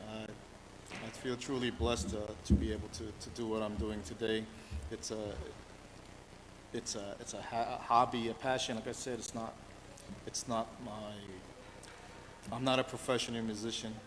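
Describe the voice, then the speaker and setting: A middle-aged man from New York City answering interview questions in an earnest, halting way, full of restarts and "you know"s. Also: lowish voice, level tone. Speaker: musician interviewed as guest. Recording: long reverb, faint background hiss.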